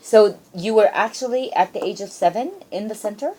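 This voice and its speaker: A woman's voice: medium pitch, brisk, slightly rough. A woman hosting a casual live radio interview, chatty and reactive, often echoing what she hears. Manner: chatty, animated reactions, echoes guest's answers. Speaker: radio show host. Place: radio studio.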